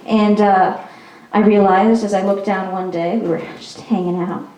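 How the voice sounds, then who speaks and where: A woman's voice: medium pitch, fairly even intonation, normal speed, mellow, distant mic. A young woman from Peru performing a stand-up comedy set in a chatty, self-mocking way, with frequent ums. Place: small performance venue. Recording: echoey venue recorded from afar.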